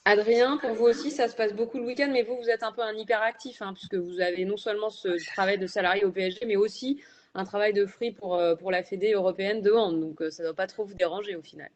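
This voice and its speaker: A woman's voice: mid-range voice, fairly even intonation, fast, slight background noise. Woman, panel moderator, asks questions, some fillers.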